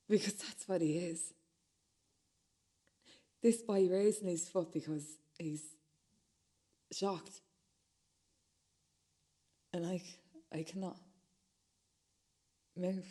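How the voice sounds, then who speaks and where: Actress doing shaken woman radio play monologue, mellow tone, keeps repeating bits, halting and stunned. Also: lowish voice, fairly even intonation. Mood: stunned, hushed. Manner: halting, repetitive, dropping to whispers. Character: shaken woman recounting a violent moment in obsessive fragments.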